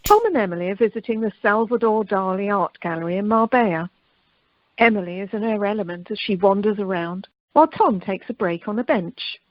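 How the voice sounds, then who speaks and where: A woman's voice: mid-range voice, expressive, a little fast, no echo. A woman reading scripted narration and stage directions for an amateur radio play, recorded over the telephone. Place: recorded remotely by telephone during lockdown. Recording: muffled telephone conference line.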